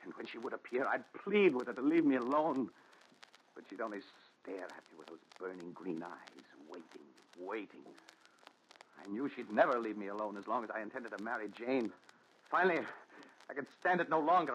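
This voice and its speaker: An actor playing a haunted, fearful man in a 1940s radio thriller, with an intense, halting, dramatic delivery. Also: medium pitch, lively intonation, fairly bright tone, lightly acted. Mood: haunted, desperate. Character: haunted, fearful man confessing terrors to his doctor. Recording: muffled, noisy 1940s radio recording.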